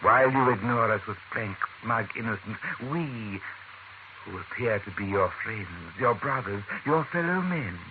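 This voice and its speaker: An actor playing a smug, menacing leader of secret alien invaders in an old radio drama, speaking in a measured, condescending way. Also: male voice, lowish voice, lively intonation, close to an everyday voice. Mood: smug menace. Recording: noisy, phone-like old radio recording.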